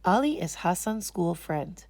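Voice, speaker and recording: A woman's voice: on the low side, sing-song, a little slow, darkish tone, dry recording. A woman narrating a children's storybook as a read-aloud.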